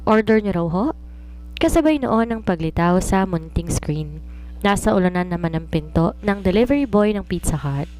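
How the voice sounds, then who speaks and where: A woman's voice: lowish voice, expressive, normal speed, warm tone, low-quality recording. A woman reading a scripted romance story as its narrator.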